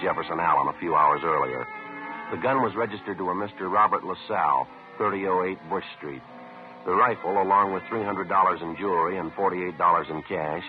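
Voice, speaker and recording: A man's voice: sits low, natural voice. A man acting as a terse, level-headed police detective in a 1950s radio crime drama, with clipped, matter-of-fact, understated delivery. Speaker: lead actor and narrator. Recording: muffled, hissy vintage radio recording.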